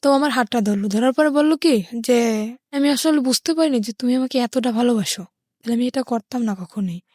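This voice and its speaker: A woman's voice: a little high, fairly quick, darkish tone, dry recording, clean studio sound. A young woman with a Bangladeshi accent, telling her life story as an interview guest.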